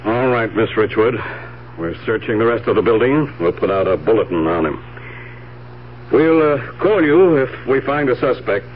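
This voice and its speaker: An actor playing a calm, businesslike police officer with a measured, matter-of-fact manner in a radio drama. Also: male voice, mid-range voice, close to an everyday voice. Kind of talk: 1970s radio drama acting. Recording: tinny, band-limited old radio, faint hiss.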